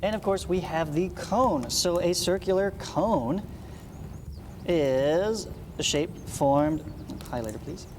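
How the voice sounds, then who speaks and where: A man's voice: sits high, slow, slight background noise. A man teaching in a read-along lesson style, explaining step by step with asides and frequent check-in questions. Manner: explanatory, frequent check-in tags like "right?" and "okay?". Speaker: math teacher.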